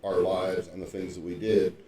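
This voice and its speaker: A man's voice: sits low, little pitch movement, moderate pace, crowd noise. An older man co-hosting a podcast, chatting casually and reflectively in a rambling way. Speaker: podcast co-host. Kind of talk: casual chat. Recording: roomy echo from far off the mic.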